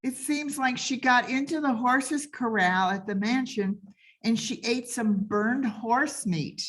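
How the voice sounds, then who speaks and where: A woman's voice: a little high, takes it slowly, a bit bright, poor audio. A woman playing an eager, flustered house sitter in an old-time radio comedy. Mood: flustered, apologetic.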